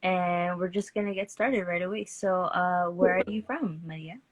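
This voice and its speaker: A woman's voice: on the low side, moderate pace, background noise. A woman hosting a casual livestream artist talk, chatty and upbeat, greeting viewers by name.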